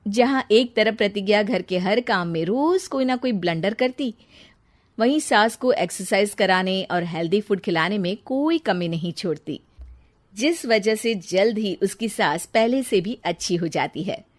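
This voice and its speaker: A woman's voice: medium pitch, animated, unhurried. Woman narrating a scripted storybook tale.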